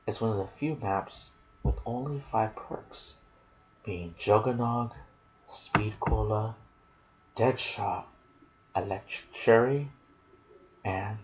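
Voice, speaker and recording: Slow, low-voiced man doing ASMR game commentary. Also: darkish tone, rough recording. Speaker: video game lore narrator. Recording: clear background noise.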